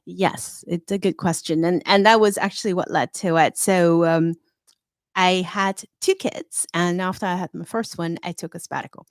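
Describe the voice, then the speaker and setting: A woman's voice: lowish voice, fairly even intonation, normal speed, dry recording, studio quality. A middle-aged woman from Hong Kong, a fintech consultant, speaking as an interview guest in a confident, candid, storytelling way. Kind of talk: interview conversation.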